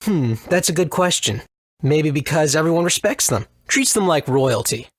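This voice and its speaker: A man's voice: a little high, animated, moderate pace, dry recording. A man voicing a kindly, thoughtful young mage in a video game, easygoing and reflective. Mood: gently reflective.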